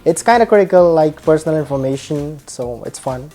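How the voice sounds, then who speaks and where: Low dark-voiced woman, relaxed short answers, quiet music underneath. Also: brisk. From New York. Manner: short, laid-back sentences. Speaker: bug bounty hunter. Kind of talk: casual interview answers. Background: faint music.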